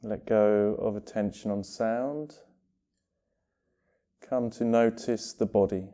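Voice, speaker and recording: A man leading a guided meditation with gentle instruction, speaking in unhurried phrases separated by long pauses. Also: lowish voice, fairly even intonation.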